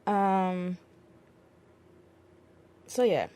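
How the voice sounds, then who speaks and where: Woman, podcast host, casual relaxed chat, lowish voice, frequent fillers. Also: extremely slow, smooth. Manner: relaxed, many fillers, laughs easily.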